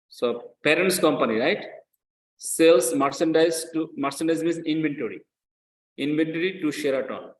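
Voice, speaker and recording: A male lecturer with a mid-pitched voice, recorded in an echoey room. He is a non-native English speaker who explains step by step, repeating his phrases and tagging them with short check questions to his students. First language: not English. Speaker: accounting lecturer.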